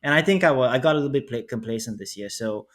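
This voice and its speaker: A man's voice: medium pitch, fast. A young man co-hosting a casual business podcast, speaking earnestly and reflectively, often repeating and rephrasing. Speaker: podcast co-host.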